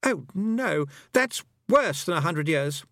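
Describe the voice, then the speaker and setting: A man voicing a haughty yet anxious twelve-year-old lost boy in a storybook, emphatic and excitable. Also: sits high, normal speed, dry recording, clean studio sound, pitched above the performer's own voice. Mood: anxious, agitated.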